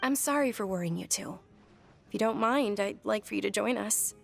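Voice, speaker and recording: A woman's voice: medium pitch, normal speed, creaky, faint music behind, natural voice. An actress playing a polite but headstrong young noble lady in a game, speaking earnestly. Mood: gentle. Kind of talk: game voice acting.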